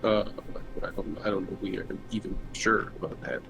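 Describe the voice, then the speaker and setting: A man's voice: fairly low pitch, expressive, brisk, creaky, over music, low-quality recording. A man chatting casually as a player in a tabletop roleplaying game. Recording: loud background noise.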